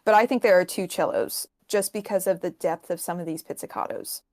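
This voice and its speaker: A woman's voice: lowish voice, a little fast, a little mellow. Woman explaining music, step by step. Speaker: podcast panelist explaining music.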